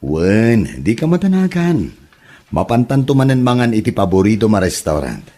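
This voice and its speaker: A man's voice: fairly low pitch, very animated, normal speed, warm tone. An actor playing a jobless, sweet-talking young womanizer son who is evasive and short of money, in an Ilocano comedy drama.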